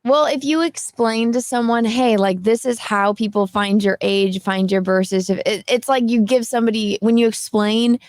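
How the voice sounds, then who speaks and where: A woman's voice: fairly high pitch, fairly even intonation, moderate pace, few pauses, darkish tone, no echo. A woman in her twenties, a guest on a casual panel chat, telling a story and quoting others as she goes, often laughing. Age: 20s.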